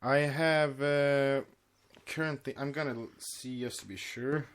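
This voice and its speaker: A man with a mid-pitched voice co-hosts a podcast. He moves between reading a story aloud and casual, unpolished chat. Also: steady tone, measured pace, smooth. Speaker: podcast co-host reading fan fiction.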